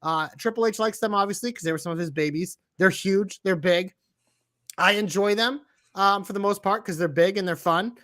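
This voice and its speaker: Boston guy cohosting a wrestling podcast, high bright voice, swears a lot, gets loud fast. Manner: loud, profane, breaks into shouted impressions. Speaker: podcast co-host. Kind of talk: casual wrestling podcast chat.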